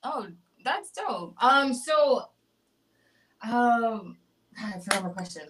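Blunt, animated woman podcast host. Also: fairly high pitch, slow, a little hiss or hum. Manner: animated, blunt, swears freely. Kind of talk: casual interview chat.

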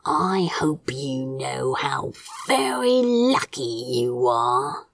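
Woman voicing a sneering demon man with lively swings. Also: a bass voice, very slow, creaky, no room sound, clean studio sound, voice pushed down, heavily acted. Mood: menacing. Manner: sneering, growling, exasperated. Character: scornful male demon scolding houseplants, grumbling and menacing.

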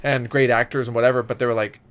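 Quick, enthusiastic man chatting on a podcast. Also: mid-range voice, little pitch movement, clear, resonant tone. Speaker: podcast co-host. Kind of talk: casual chat about movies.

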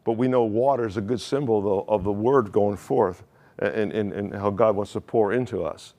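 A man's voice: fairly low pitch, moderate pace, a little mellow. A male pastor preaching a sermon in a conversational way, asking the congregation questions and often restarting his phrases.

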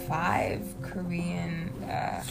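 A woman with an American accent in a casual chat, animated, slangy and emphatic. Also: on the low side, extremely slow, vocal fry. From south side of Atlanta, United States. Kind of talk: casual chat over drinks. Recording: music behind the voice. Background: music.

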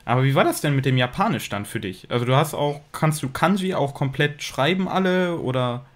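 A man's voice: on the high side, expressive, a little fast. A man hosts a casual interview, keeping it chatty and light. He laughs often and steers his guest with leading questions.

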